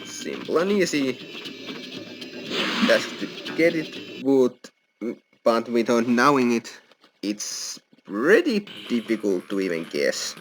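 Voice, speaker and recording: A man's voice: mid-range voice, big pitch swings, slow pace, low-quality recording. A man giving casual walkthrough commentary as host of a gaming video. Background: loud game music.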